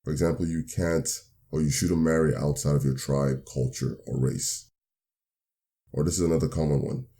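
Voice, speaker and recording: A man with a very deep voice hosts his own motivational podcast. His tone is mellow and warm. He speaks in an upbeat, earnest and measured way, slowing down when he repeats definitions. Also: steady tone, creaky. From Nigeria. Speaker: podcast host. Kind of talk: solo motivational podcast talk.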